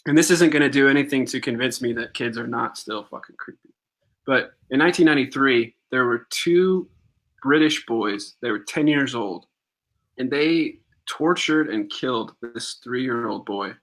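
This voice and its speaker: A young man co-hosting a podcast live stream, relaxed, telling a story with many fillers and pauses. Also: medium pitch. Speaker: podcast co-host.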